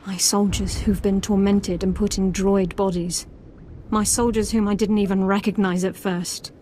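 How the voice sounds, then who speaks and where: A woman's voice: medium pitch, level tone, normal speed, mellow, close to an everyday voice. A woman plays a bitter female officer in a video game cutscene. Her mid-range voice is terse and sardonic, full of disgust, with faint noise behind it. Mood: bitter anger. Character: bitter, angry female Imperial military officer.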